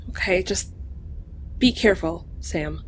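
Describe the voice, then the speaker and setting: A woman playing a gentle, downhearted female alien dog creature in an audio drama, speaking earnestly. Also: on the low side, measured pace, slight background noise, natural voice. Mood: downcast, concerned.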